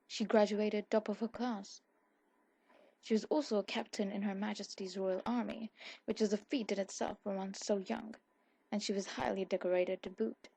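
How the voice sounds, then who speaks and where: Husky woman narrating with a creaky edge. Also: mid-range voice, little pitch movement, moderate pace, mellow, no room sound, rough recording. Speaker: fan fiction audiobook narrator. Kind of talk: scripted ASMR story narration.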